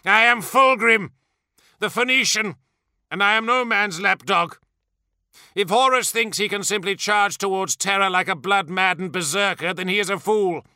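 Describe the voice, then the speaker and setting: A man's voice: high voice, moderate pace, pushed and bright, clear, resonant tone, no echo, studio quality, pitched above the performer's own voice. A man voicing a vain, imperious superhuman warlord in an audiobook, theatrical and wrathful, with spitting, snarling delivery. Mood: imperious fury. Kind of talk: dramatic audiobook character voice.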